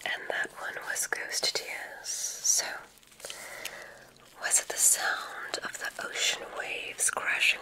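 Lady doing a kindly witch examiner for magic school, all whispered, really slow, asmr roleplay. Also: poor audio. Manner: slow, deliberate, encouraging, theatrical phrasing. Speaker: ASMR performer.

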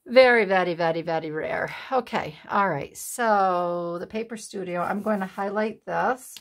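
A woman in her sixties chatting at home while she makes a collage at her craft table, with a breathy, sniffly voice, laughing asides and remarks to herself. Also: mid-range voice, a little slow, a little hiss or hum. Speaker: craft channel host. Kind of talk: casual chat while making a collage. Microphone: overhead camera on the desk, hands only in frame.

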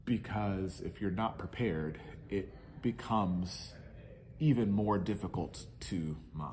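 A man's voice: low voice, slow pace, a little mellow. A middle-aged man answering interview questions in earnest, reflective casual talk in a large indoor lounge. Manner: earnest, reflective, gestures while talking.

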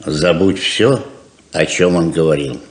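Deep-voiced, protective old father. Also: unhurried. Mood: grave, consoling. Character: gentle, protective old father of grown children. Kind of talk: 1970s Soviet radio play acting.

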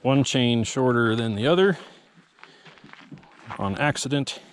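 A man casually narrating as he works on a vehicle recovery outdoors, matter-of-fact and relaxed. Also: mid-range voice, slow. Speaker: volunteer vehicle recovery driver. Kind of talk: casual first-person narration while working. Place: outdoors on a forest trail. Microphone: head-mounted action camera. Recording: outdoor camera mic with noticeable background noise.

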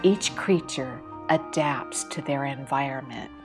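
A woman artist calmly reading a scripted documentary narration about her drawing, in a measured, reflective way. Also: a bass voice. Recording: voice-over with loud background music.

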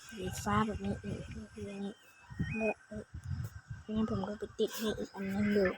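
A man's voice: high voice, monotone, moderate pace, background noise. A male gaming host chatting casually to viewers, walking them through car tuning numbers step by step. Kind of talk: casual gameplay commentary to viewers.

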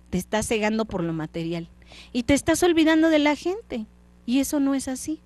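A woman's voice: mid-range voice, a little mellow, natural voice. A woman voicing a young fiancée who pleads and reproaches her partner for forgetting her.

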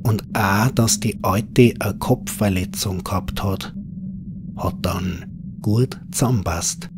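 A man with a Bavarian accent narrates a scripted horror story in dialect, in an unhurried, folksy manner. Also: fairly low pitch, noisy background.

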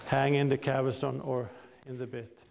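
A man's voice: mid-range voice, little pitch movement, normal speed, gravelly, a little mellow, slight background noise. A man teaching riding and counting out the rhythm in an indoor arena. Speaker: riding instructor. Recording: echoey arena.

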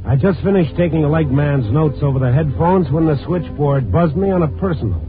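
An actor playing a wry, world-weary night-beat newspaper reporter in a 1950s radio drama, with a terse, hard-boiled delivery. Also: male voice, a little high, fairly quick, music in the background, close to an everyday voice. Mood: matter-of-fact. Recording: tinny 1950s radio recording.

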